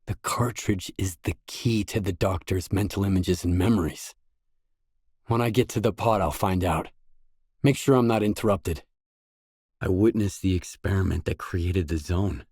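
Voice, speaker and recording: Low husky brooding game hero. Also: male voice, normal speed, a little mellow, no echo, clean studio sound. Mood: grave. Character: determined, brooding male stalker in a grim game. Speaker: video game protagonist. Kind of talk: game voice acting, isolated dialogue lines.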